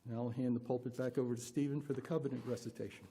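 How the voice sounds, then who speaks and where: A person leading a worship service from a pulpit, reading aloud with deliberate, emphatic expression. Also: medium pitch, fairly even intonation, warm tone, a little hiss or hum. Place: church pulpit.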